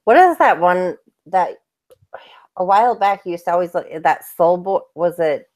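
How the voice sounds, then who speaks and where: A young woman co-hosting a casual livestream chat, chatty and quick to laugh. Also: fairly low pitch, a little mellow. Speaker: co-host.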